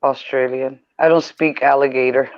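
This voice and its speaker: A man's voice: medium pitch, fairly even intonation, measured pace, dry recording. A man hosting a casual live-stream chat, laughing often and teasing his callers. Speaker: stream host. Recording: dull lo-fi sound.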